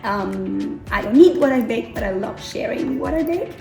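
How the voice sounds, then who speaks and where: A woman from Argentina who teaches Spanish, talking in a lively, chatty way. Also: fairly high pitch, moderate pace, gravelly. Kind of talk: interview clip. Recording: echoey room with music bed.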